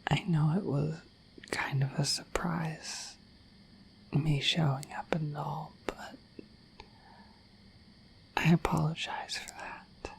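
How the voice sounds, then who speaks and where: A woman voicing a shy, affectionate, dorky dragon in a bashful, hesitant breathy whisper for an ASMR roleplay. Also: low-pitched, steady tone, takes it slowly, pauses often, exaggerated voice, poor audio. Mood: bashful, apologetic. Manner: bashful, hesitant, apologetic, rambling.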